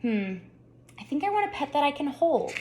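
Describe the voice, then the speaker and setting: Woman reading as a spunky, excitable little girl. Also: high voice, moderate pace, slight background noise, voice pushed up. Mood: pondering. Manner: eager, exclaiming, pondering aloud. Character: spunky, excitable seven-year-old girl. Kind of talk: children's storybook read-aloud.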